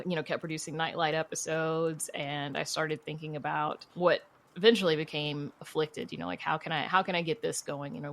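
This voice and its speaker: A woman's voice: sits low, fairly bright tone. A woman podcast creator and writer answering interview questions in an informal, chatty, storytelling way. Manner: informal and chatty, laughs easily while telling stories. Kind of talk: casual conversational interview answers.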